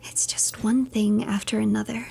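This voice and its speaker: A woman narrating a video game playthrough as a dramatic story, in a theatrical, deliberate manner. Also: mid-range voice, fairly even intonation, creaky, darkish tone, faint music behind.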